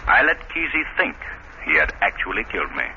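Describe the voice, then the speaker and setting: An actor playing an assured gentleman detective, calm and explanatory, in measured 1930s radio style. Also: on the low side. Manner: measured, declamatory. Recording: muffled, noisy 1930s radio recording.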